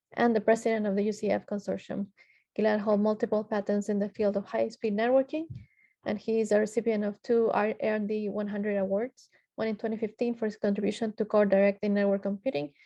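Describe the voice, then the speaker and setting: A woman's voice: mid-range voice, mellow. A woman from Ecuador moderating a technical panel, reading out introductions with frequent filler sounds and restarts.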